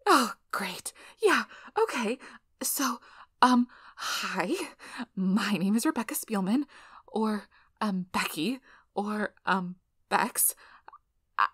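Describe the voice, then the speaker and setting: A woman's voice: mid-range voice, animated, a bit bright, dry recording, exaggerated voice. Woman acting as a nervous, rambling young researcher, close and dry. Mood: awkward, eager. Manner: flustered, halting, rambling, apologetic, breathy whispered asides. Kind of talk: ASMR role-play acting.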